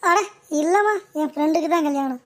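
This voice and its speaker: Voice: high voice, fast talker, close to an everyday voice. A performer voicing a sly young bridegroom in a cartoon comedy, glib and evasive, forever making excuses.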